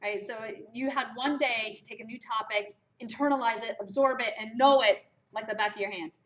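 A woman from Germany lectures a math class with emphatic, lively questions. Her voice sits on the higher side. The classroom recording sounds dull and lo-fi. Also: brisk. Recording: lo-fi lecture capture.

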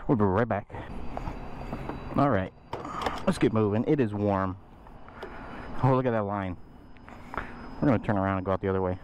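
A man's voice: fairly low pitch, normal speed, pauses often, highs cut off. A man giving casual, playful running commentary to himself as a vlogger while riding a motorcycle outdoors. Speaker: motorcycle vlogger, channel host. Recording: loud motorcycle engine and traffic noise. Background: motorcycle engine, passing vehicles, outdoor ambience.

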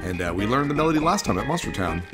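A man's voice: medium pitch, sing-song, very fast. A man giving casual, chatty playthrough commentary on a video game in a playful way. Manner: chatty, playful, reads game text aloud in exaggerated tones. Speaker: gaming video host. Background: video game music.

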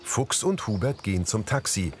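A man's voice: on the low side, sing-song, normal speed, soft background music. A man reading a scripted audio description of a drama, narrating scenes in the present tense.

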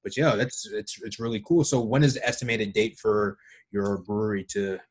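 A man in his thirties hosts a video-call interview from a room at home. His voice is low and a little husky. He asks long, rambling questions in a friendly, encouraging way. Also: steady tone, moderate pace. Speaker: podcast host. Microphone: webcam on a video call.

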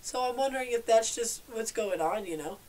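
A woman's voice: a little high, animated, brisk, a little mellow, slight background noise, rough recording. A young woman, sick and stuffed up, co-hosting a casual podcast chat, talking freely and laughing often. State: congested, sick. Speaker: podcast co-host.

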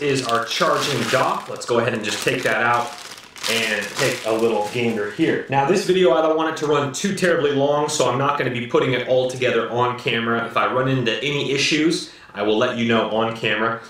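A man in his thirties, slightly hoarse, casually reviewing and unboxing a gadget at home in an upbeat manner. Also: mid-range voice, brisk, a bit bright, slight background noise. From United States. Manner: upbeat, repeats "very, very cool, guys". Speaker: product reviewer. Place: living room at home. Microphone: camera mic from a short distance. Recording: echoey room, slightly distant mic.

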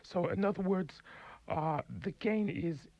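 A man's voice: high-pitched, a little slow, darkish tone, no echo. A man hosting a radio interview, asking careful, probing questions about singing technique. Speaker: radio host. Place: radio studio. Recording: dull lo-fi 1980s radio tape.

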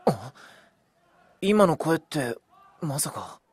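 An actor playing a blunt, easily flustered teenage schoolboy in a Japanese audio drama, colloquial and reactive. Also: male voice, a little high, very animated, extremely slow, slightly rough, no echo, full character voice. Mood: brooding, puzzled. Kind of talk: Japanese audio drama acting with inner monologue.

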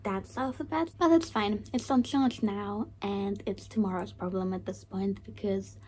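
Croaky-voiced young woman chatting warmly. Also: medium pitch, moderate pace. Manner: chatty, self-deprecating, laughs often. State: slightly croaky from recent illness. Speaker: vlogger. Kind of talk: casual self-care vlog chat. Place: small bedroom at home.

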